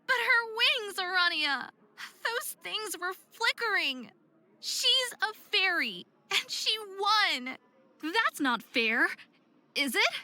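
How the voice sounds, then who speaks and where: A woman's voice: extremely high pitch, big pitch swings, slow pace, pushed and bright, heavily acted. A young woman voicing a hot-headed, distraught young faerie skater in a visual novel game, with a dramatic, emphatic delivery. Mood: upset. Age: young adult.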